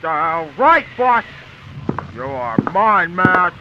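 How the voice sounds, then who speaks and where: An actor playing a huge, menacing, obedient thug in a 1940s radio serial. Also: male voice, high-pitched, sing-song, very slow, lo-fi sound, background noise, heavily acted. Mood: eager menace.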